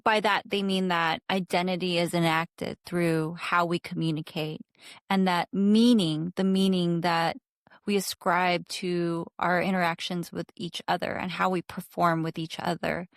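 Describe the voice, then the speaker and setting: A woman co-hosting a podcast, explaining ideas in a measured academic way and asking probing questions. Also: on the low side, flat delivery, no room sound, studio quality. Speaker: podcast co-host. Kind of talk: academic interview conversation.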